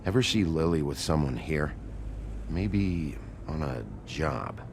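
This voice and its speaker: Very deep gravelly detective. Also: male voice, lively intonation, slow, noisy background, full character voice. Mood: low-key, probing. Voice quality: gravelly, growling. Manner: terse, blunt questioning. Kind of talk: dramatic video game acting.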